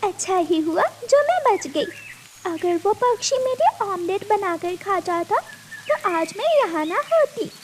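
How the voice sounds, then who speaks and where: Super high baby girl bird, curious and eager, kids cartoon, bit of noise. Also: big pitch swings, unhurried, exaggerated voice. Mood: curious, concerned. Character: young daughter bird, curious and eager child. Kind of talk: children's cartoon dialogue. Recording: faint background noise.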